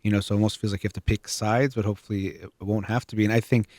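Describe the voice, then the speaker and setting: Man, lowish voice, host, thoughtful, explains things, dry sound. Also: fairly even intonation, rapid-fire, a little mellow, no room sound. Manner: thoughtful, explains terms for listeners. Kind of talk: conversational discussion.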